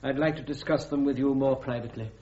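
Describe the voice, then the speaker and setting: A man's voice: medium pitch, fairly even intonation, quick pace, warm tone, natural voice. Man acting a stern British court-martial president. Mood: stern, commanding. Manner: pompous, curt, formal. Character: stern, peremptory senior officer presiding over court-martial. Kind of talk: full-cast radio drama acting. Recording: muffled, roomy radio recording.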